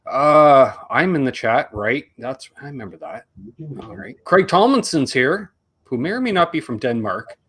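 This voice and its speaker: A man's voice: medium pitch, very animated, moderate pace, a bit bright, slightly rough. A middle-aged man with a Canadian accent, hosting a casual live stream, rambling and joking as he reads out names while his voice is going. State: losing his voice, coughing. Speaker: host.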